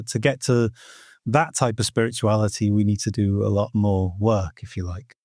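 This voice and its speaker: A man's voice: fairly low pitch, darkish tone, no echo, clean studio sound. A middle-aged man from Wales with a Welsh accent, a native Welsh speaker, answering as an interview guest in a thoughtful, earnest way with many "you know" asides. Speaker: guest teacher of Welsh myth. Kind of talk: reflective podcast interview answers.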